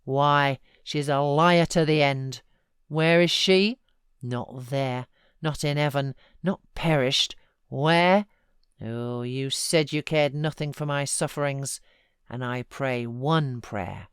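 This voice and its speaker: A man's voice: medium pitch, takes it slowly, fairly bright tone, smooth, no echo, clean studio sound. A woman voicing a vehement, grief-stricken man in a dramatic audiobook reading, with impassioned outcries. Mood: bitter fury. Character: anguished, vehement man raging in grief and passion.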